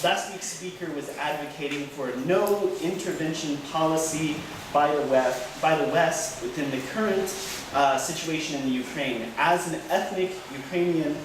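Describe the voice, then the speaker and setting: Man, moderator, higher voice, measured questions, roomy hall echo, audible background noise. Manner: deliberate, measured questions with "uh" fillers. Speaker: talk moderator. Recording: echoey hall, voice far from mic, noisy.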